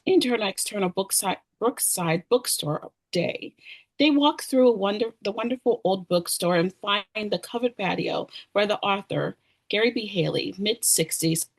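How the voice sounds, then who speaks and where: A woman's voice: medium pitch, expressive, normal speed. A woman narrating stage directions at a screenplay table read, sometimes stumbling over and repeating words.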